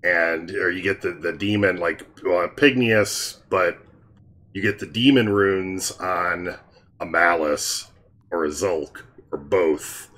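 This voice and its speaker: Low-voiced man explaining game strategy. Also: takes it slowly. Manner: relaxed, explains strategy in detail. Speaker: gaming channel host. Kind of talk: casual gameplay commentary.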